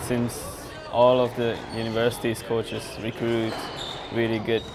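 A young man from Japan, a college squash player and non-native English speaker, talking in short pausing chunks. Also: medium pitch, completely flat delivery, noisy background. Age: young adult. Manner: simple phrasing, pausing between short chunks. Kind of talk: interview.

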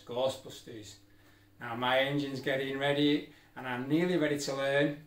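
A middle-aged man teacher leading a children's exercise video, giving simple, encouraging step-by-step instructions. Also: medium pitch. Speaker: special-needs school teacher leading an activity. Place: indoor room at a wooden table. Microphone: camera a few feet away. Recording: echoey room, music in the background.

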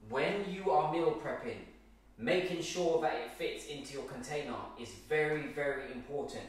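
A man's voice: fairly high pitch, moderate pace, slight background noise. A man in his thirties, a fitness coach, giving meal prep tips straight to camera, emphatic and repetitive, often checking in with "okay?". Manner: emphatic, repeats points, keeps asking okay. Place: large bare home kitchen. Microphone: far camera, no visible mic. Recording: very echoey room, from far off.

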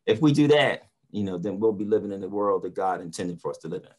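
A man's voice: sits low, expressive, fast. An older man giving earnest, inspirational talk as a motivational speaker on a video call. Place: indoor room on a video call.

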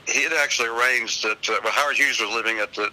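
Older man, middle pitch, sharp bright voice, folksy rambling chat, polite, hissy radio call. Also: fast, poor audio. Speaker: phone-in guest, singer and website owner. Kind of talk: casual anecdotal chat.

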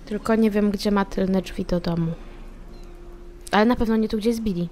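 A woman's voice: mid-range voice, steady tone, normal speed, darkish tone, a little hiss or hum. A woman playing a tabletop role-playing game, chatting casually in character.

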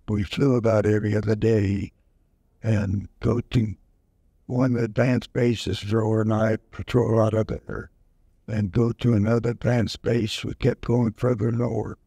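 A man in his nineties slowly recalling wartime service in an oral history session, halting with many fillers, speaking into a handheld mic. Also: on the low side, creaky, mellow, breathy edge, no room sound, clean studio sound. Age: over 90. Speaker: veteran interviewee. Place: museum event room, indoors. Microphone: handheld mic held close.

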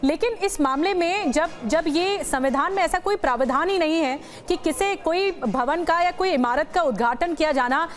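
A woman's voice: sits high, normal speed, hardly any pauses. A woman news anchor in a television studio, delivering a live breaking-news bulletin with urgent, emphatic repetition.

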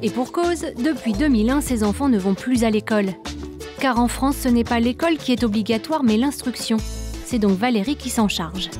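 A woman reading scripted voice-over narration for a documentary, in a calm, measured storytelling manner. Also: on the high side. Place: studio voice-over. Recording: faint background music under studio voice-over.

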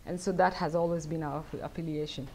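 Woman from south sudan at a podium, lowish voice, halting with lots of ums, faint noise in the room. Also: steady tone, a little mellow. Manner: thoughtful, halting, many fillers. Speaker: guest speaker. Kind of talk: lecture Q&A answers. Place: wood-paneled event room, at a lectern. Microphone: lectern mic.

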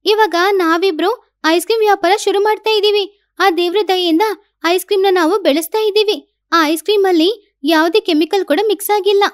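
A woman voicing a kind, forgiving cartoon sparrow who speaks in a gentle, reassuring manner. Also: extremely high pitch, moderate pace, no echo, studio quality, pitched above the performer's own voice. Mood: forgiving, proud. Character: kind, forgiving sparrow turned ice cream seller.

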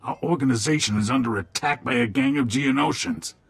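An actor playing a shady alien gangster lieutenant in a video game, with a husky, gravelly voice. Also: male voice, mid-range voice, huge pitch swings, a little fast, no room sound, soft background music, exaggerated voice. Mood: businesslike, grim. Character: shady alien male gangster lieutenant running a desert club. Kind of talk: video game dialogue acting.

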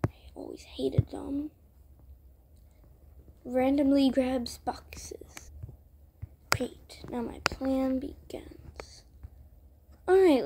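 A woman voicing a sneaky, greedy thieving neighbor in a cartoon roleplay, scheming in breathy whispers. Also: sits high, mellow, a little hiss or hum, heavily acted.

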